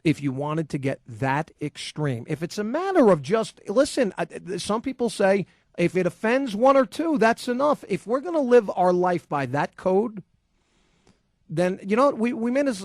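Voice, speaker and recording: A man's voice: sits high, sing-song, moderate pace, no echo, clean studio sound. Ranting sports radio host, man. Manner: combative, ranting, sarcastic. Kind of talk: heated opinion monologue and call-in.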